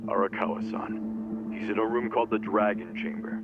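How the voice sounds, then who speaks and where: A man's voice: on the low side, little pitch movement, normal speed, natural voice. An actor in a game dub playing a worried, reluctant gangster underling who pleads anxiously with his senior. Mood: resigned. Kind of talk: English video game dub acting. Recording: muffled radio-like sound, loud music.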